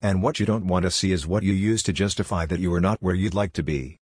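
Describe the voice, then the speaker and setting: A man's voice: sits low, fairly even intonation, fast talker, darkish tone, breathy edge, no room sound, clean studio sound. An older man from Hungary, a native Hungarian speaker, giving a blunt, lecturing monologue as a workshop leader. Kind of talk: scripted monologue.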